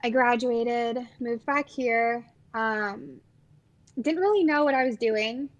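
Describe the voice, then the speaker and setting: A woman's voice: on the high side, slow pace, highs cut off. A woman in her twenties from the Los Angeles area, chatting casually with a friend, trailing off with fillers. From Los Angeles area, USA. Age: 20s. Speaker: podcast guest. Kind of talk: casual chat.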